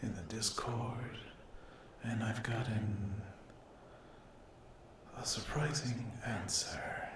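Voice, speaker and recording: A man speaking in a hushed, halting whisper as an ASMR host addressing his viewers. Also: lowish voice, fairly even intonation, reverberant, noisy background. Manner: hushed, halting delivery with long pauses.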